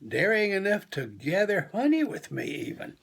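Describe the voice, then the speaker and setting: A man voicing a proud, encouraging father bear in a children's storybook, coaxing gently and repeating his reassurances. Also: high-pitched, wildly swooping pitch, normal speed, creaky, slightly rough, voice pushed up, exaggerated voice. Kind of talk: children's storybook read-aloud.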